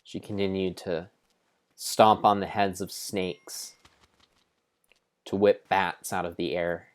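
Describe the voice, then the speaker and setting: A man giving an improvised dramatic narration of a game like an adventure storybook, with deliberate, drawn-out phrasing. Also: low voice, level tone, clear, resonant tone.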